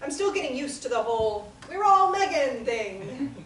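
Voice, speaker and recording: An actress reading a young newcomer to a therapy group in a table read, friendly and a bit nervous. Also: female voice, sits high, very animated, normal speed, fairly bright tone. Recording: roomy audio from far off, clear background noise.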